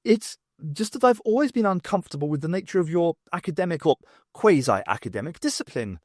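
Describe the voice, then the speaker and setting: A man's voice: sits high, sing-song, a little fast, a little mellow, no echo, studio quality, voice pushed up. A man voicing an uneasy, stiffly formal male academic, awkwardly confiding, in an audiobook. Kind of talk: audiobook character voice.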